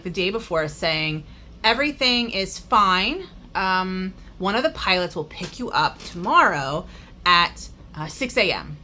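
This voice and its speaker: A chatty, extroverted woman tells a story in a casual crafting vlog, her pitch swinging up and down with lively energy. Her voice is bright and forceful. A faint hiss sits under the recording. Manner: chatty, lots of fillers and asides. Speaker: crafting vlogger.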